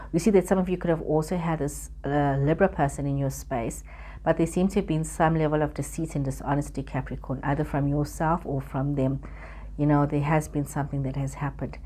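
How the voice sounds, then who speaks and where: Woman, deep-pitched, mellow and relaxed, spiritual card reading, improvised, repetitive halting phrasing. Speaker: tarot reader hosting her own channel. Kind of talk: semi-improvised spiritual reading addressed to the viewer.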